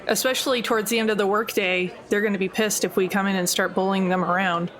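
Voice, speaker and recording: A woman with a medium-pitched voice plays in an improvised tabletop roleplaying session, talking casually with a wry, joking manner. Faint voices of other people sit behind her. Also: steady tone, fast talker, fairly bright tone, some chatter behind, low-quality recording. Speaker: player in tabletop roleplaying game.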